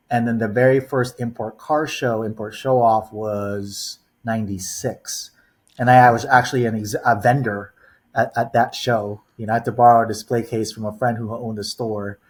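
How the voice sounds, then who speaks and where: A man's voice: lowish voice, breathy edge. Easygoing man in his forties, rambling. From born in the Philippines, raised in Los Angeles. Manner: rambling storyteller, heavy on "you know" and "um". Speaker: guest. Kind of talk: casual podcast interview.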